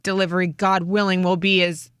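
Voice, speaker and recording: A woman's voice: fairly low pitch, flat delivery, a little fast, clear, resonant tone, no echo. A woman co-hosting a morning radio show, chatting candidly and casually into a close studio mic.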